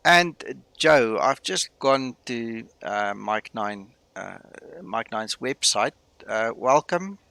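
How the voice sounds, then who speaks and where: A man's voice: mid-range voice, expressive, takes it slowly, gravelly, sharp tone, no room sound. A man from South Africa with an Afrikaans-rooted South African accent, chatting casually with viewers while streaming a flight sim, full of fillers and greetings. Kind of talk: casual live-stream chat.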